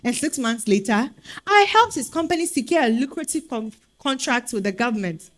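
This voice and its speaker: A woman's voice: a little high, moderate pace, lightly acted. A woman with a Ghanaian accent playing a spirited single woman telling her dating story in a comic stage reading. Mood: storytelling. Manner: expressive, exasperated storytelling. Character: Araba, spirited Ghanaian single woman hunting foreign men.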